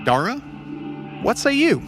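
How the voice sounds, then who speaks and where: Man doing a very animated, chummy storybook pal. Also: very high-pitched, measured pace, music in the background, pitched above the performer's own voice, exaggerated voice. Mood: cheerful.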